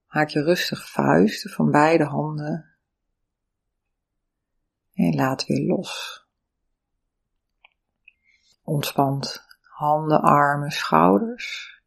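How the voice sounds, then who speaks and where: A meditation teacher giving calm, soothing, step-by-step guidance through breathing and body awareness. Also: mid-range voice, slow, gravelly, long pauses. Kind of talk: guided meditation instruction.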